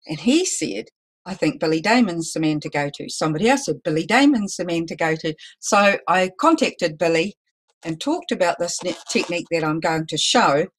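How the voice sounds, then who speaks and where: A woman teaching a live balloon-twisting lesson, talking loudly and chattily with some self-deprecating humor. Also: fairly low pitch, expressive, moderate pace. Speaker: balloon artist teaching a workshop. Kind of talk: live online demonstration lesson.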